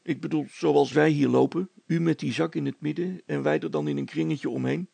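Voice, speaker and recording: A man voicing a calm, joking young villager in a storybook, talking in a casual, teasing way. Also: on the high side, brisk, vocal fry, slightly rough, no echo, voice pushed up, full character voice.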